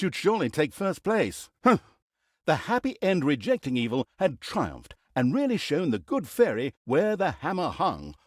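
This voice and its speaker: A man's voice: fairly high pitch, no echo, studio quality, heavily acted. A male actor playing a wry, theatrical storybook narrator in a fantasy video game, with a sardonic, mock-grand delivery.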